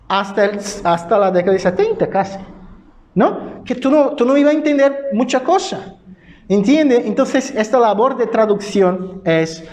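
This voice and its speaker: A man's voice: high voice, a little mellow. A man from Brazil, a native Portuguese speaker with a Brazilian accent, giving an informal church lecture in a didactic way with frequent checking tag questions.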